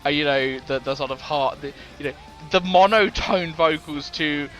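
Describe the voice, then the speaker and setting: A man's voice: a little high, moderate pace, fairly bright tone. A man co-hosting a casual music podcast, rambling with many fillers and restarts and laughing easily. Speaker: podcast co-host. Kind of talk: casual chat about music.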